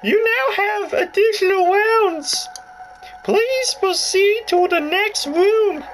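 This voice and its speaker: A man voicing a stiff, bossy female spy chief in a strained falsetto, giving slow, drawn-out instructions from a video game. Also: fairly bright tone, a little hiss or hum, full character voice.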